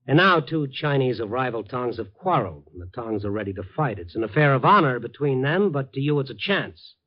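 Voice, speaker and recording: A man's voice: mid-range voice, very animated, brisk. An American man playing a tough, wry, stubborn newspaper reporter in a 1950s radio crime drama, hard-boiled and sardonic. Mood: wry, defiant. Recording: muffled vintage radio recording, music behind.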